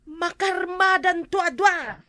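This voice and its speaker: A woman's voice: extremely high pitch, takes it slowly, sharp tone, no echo, full character voice. A woman with an Ilocano accent playing an angry, threatening village matron in an amateur comedy drama.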